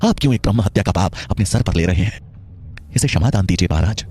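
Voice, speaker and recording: Man acting a stern, husky court minister. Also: low voice, quick pace, darkish tone. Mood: pleading. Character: stern court minister, pleading to king, sneering at youth.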